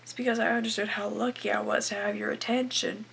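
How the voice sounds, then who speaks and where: A woman's voice: mid-range voice, little pitch movement, fast, gravelly, slight background noise. A teenage girl playing a desperate, bitter young farm wife in a confessional dramatic monologue. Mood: quiet confessing. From Canada. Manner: confessional, anguished, swinging from hushed to bursting. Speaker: aspiring actress performing a film monologue.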